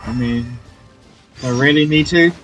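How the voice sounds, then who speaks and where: A man's voice: mid-range voice, measured pace, fairly bright tone. A man giving casual, reactive commentary as he plays through a game. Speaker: gamer playing through a story quest. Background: game music.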